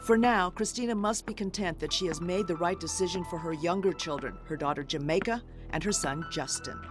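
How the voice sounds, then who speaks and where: A woman hosting and narrating a news feature in a measured, scripted reporting delivery. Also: lowish voice, over music. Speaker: program host, narrator.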